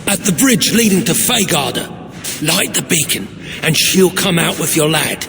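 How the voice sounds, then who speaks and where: A creaky, swooping male voice plays a blunt fantasy game companion. He is irreverent and colloquial, in a long-echoing, noisy space. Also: fairly high pitch, animated, moderate pace. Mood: matter-of-fact. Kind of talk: video game cutscene acting. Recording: reverberant hall, clear background noise.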